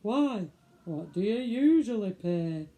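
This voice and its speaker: A woman's voice: medium pitch, animated, takes it slowly, dark tone. A woman storyteller voicing a stolid, aggrieved father with a Northern English accent and a blunt, emphatic way of talking. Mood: canny.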